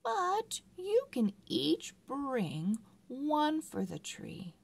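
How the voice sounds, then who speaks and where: A woman voicing a practical, reassuring mother in a Christmas storybook, with measured, careful phrasing. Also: high voice, huge pitch swings, vocal fry, pitched above the performer's own voice, heavily acted.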